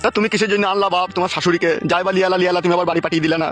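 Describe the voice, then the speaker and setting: Male actor as older cartoon villager, high voice, rapid, plaintive, pleading, lovesick, melodramatic, faint background music. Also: steady tone, a bit bright. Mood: aggrieved. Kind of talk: Bengali village comedy cartoon dialogue.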